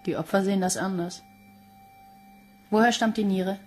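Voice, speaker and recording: A woman's voice: medium pitch, fairly even intonation, normal speed, darkish tone, faint music behind, natural voice. A woman playing a sceptical, probing police interrogator with a clipped manner in a German crime radio play. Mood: stern, probing.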